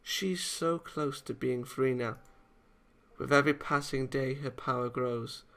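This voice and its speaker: Visual novel schoolgirl read by a man, bitter and brooding, gravelly edge, quietly menacing. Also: mid-range voice, measured pace. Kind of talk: dramatic read-aloud of game dialogue.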